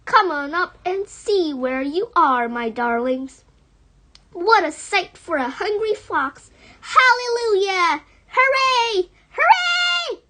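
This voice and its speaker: A woman's voice: very high voice, sing-song, slow pace, pushed and bright, voice pushed up, exaggerated voice. A woman voicing a bossy, proud and jubilant father fox in a storybook, exclaiming and giving commands. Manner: exclamatory, commanding, self-congratulating.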